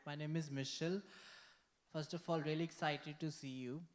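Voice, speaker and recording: A woman's voice: low voice, fairly even intonation, normal speed. A woman in the audience, courteous and enthusiastic, greeting the speaker and asking a question.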